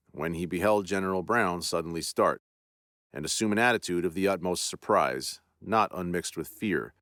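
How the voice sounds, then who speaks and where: A man hosting a podcast and narrating a classic ghost story in a measured, theatrical way. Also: deep voice, dry recording, studio quality.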